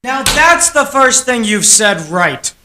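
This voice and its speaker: A woman's voice: a little high, big pitch swings, slow pace, heavy background noise. A woman playing the assured straight man in an old-time radio comedy double act, with insistent, clipped replies.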